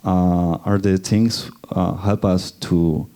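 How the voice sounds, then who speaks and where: A man with a deep, mellow voice speaks in a group discussion. He talks hesitantly and fills his pauses with soft fillers. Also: steady tone. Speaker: festival workshop participant. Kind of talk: spontaneous discussion remarks.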